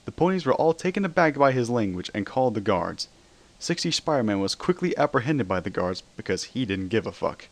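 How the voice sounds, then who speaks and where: A man's voice: mid-range voice, very animated, fairly quick. A man narrating a dramatic reading of comic fan fiction.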